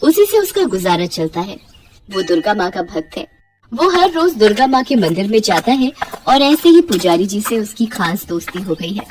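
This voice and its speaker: A woman's voice: medium pitch, sing-song, moderate pace, darkish tone, faint music behind, rough recording. A woman telling a moral bedtime story in scripted narration.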